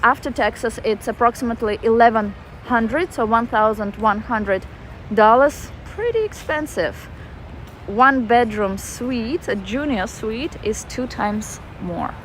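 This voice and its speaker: Russian-accented woman livestreaming on a city walk, chatty and loose, faint traffic behind her. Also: on the high side. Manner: chatty, reads viewer comments aloud with fillers. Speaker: walking livestreamer. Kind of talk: casual live-stream chat reading comments. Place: outdoors in a city park.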